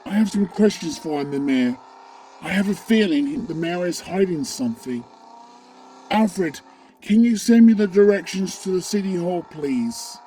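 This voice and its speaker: Woman as a slow, dark-toned masked vigilante. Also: on the low side, lively intonation, slight background noise, low-quality recording. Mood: resolved. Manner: curt, deliberate questioning. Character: terse, brooding masked female vigilante, demanding. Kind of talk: fan audio drama dialogue.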